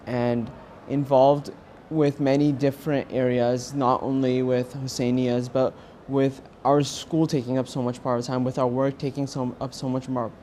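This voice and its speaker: A young man with an American accent giving a personal testimony in an earnest, halting way with pauses. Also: medium pitch, little pitch movement, dark tone, slight background noise. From California, United States. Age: young adult. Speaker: university student interviewed as a pilgrim.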